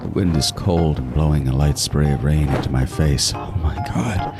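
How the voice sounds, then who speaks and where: Man playing a very deep-voiced, sardonic killer. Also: normal speed. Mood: bewildered. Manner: intense, profane, wisecracking, dramatic. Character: meticulous male serial killer narrating his hunt, crude, sardonic, panicking. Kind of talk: first-person horror audio drama narration. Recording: music behind the voice. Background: music.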